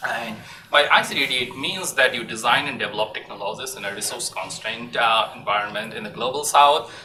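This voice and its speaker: A man with a Bangladeshi accent presents research in a very bright, tense voice. He is informal and a little halting. The room is echoey, with the microphone at some distance and faint noise behind. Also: medium pitch. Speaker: assistant professor presenting research. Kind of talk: academic lecture. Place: university lecture room.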